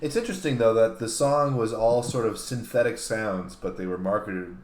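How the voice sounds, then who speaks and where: A man with a lowish voice chats casually as a co-host. His phrasing is musing and hesitant, and the sound is clearly roomy. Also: normal speed. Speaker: talk show co-host. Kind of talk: casual panel chat.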